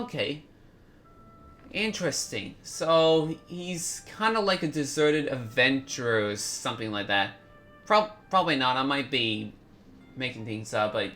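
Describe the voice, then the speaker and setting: A man hosting a gaming video, giving casual, halting commentary as he thinks aloud. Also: a little high, a bit bright, a little hiss or hum.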